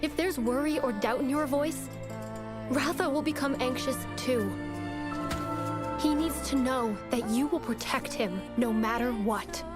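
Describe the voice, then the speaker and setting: An earnest female mentor from a fantasy game gives counsel in a high voice. Loud music runs behind her. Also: measured pace. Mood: earnest advising.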